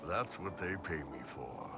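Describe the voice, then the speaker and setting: An actor playing a big, jovial mercenary warrior in a fantasy game, blunt and swaggering. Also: male voice, low-pitched, lively intonation, measured pace. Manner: blunt, laid-back swagger. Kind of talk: dramatic game dialogue. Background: music.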